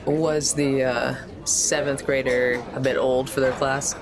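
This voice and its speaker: A man's voice: medium pitch, little pitch movement, unhurried, a crowd in the background. A man playing a tabletop roleplaying game, casually asking questions about the scenario.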